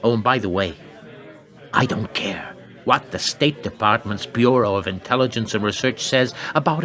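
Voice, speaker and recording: A hawkish, coolly menacing defense chief from a radio play, acted by a man. His mid voice swings widely in pitch, sardonic and commanding, over a faint murmur of people. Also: a bit bright.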